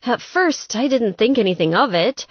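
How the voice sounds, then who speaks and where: Audiobook role: female reader as sweet young gamer woman, distressed and exasperated, very expressive pitch, dry close sound. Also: moderate pace, husky, no room sound, highs cut off. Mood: confiding. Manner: plaintive, exasperated. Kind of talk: audiobook character dialogue.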